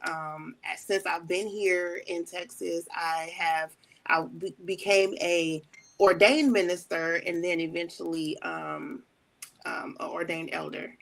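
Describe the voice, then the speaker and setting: A woman from Chicago, a guest on a talk show, telling her story in a relaxed way with lots of "um"s. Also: lowish voice, gravelly, low-quality recording. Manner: warm storytelling with many fillers. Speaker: wedding planner and ordained elder, guest. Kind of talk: casual interview talk.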